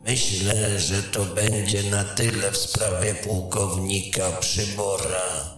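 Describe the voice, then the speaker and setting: A man's voice: on the low side, little pitch movement, fairly bright tone. A man playing a pompous, rigid court mage in a fantasy audio drama, haughty and scornful of his rivals. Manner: haughty, disdainful, deliberate. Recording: very echoey, music underneath.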